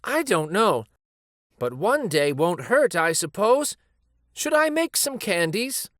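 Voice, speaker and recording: A kindly old grandmother from a picture book, played by a man reading aloud. The voice is lifted high and lilts gently, coaxing and concerned, at an unhurried pace. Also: sing-song, dry recording, clean studio sound, full character voice. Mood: obliging.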